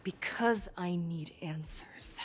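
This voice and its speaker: A woman voicing a composed, stern female general in a video game, speaking with deliberate authority. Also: lowish voice, background noise. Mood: stern, commanding. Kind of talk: dramatic game voice acting.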